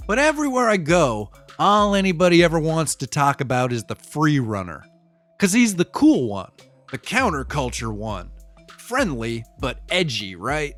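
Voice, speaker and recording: A man's voice: medium pitch, a bit bright. A man playing a cynical streetwise city cyclist. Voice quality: slangy, profane street talk. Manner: rambling, conspiratorial, shifts between wry and fired-up. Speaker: local bike courier interviewed. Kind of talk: audio drama monologue.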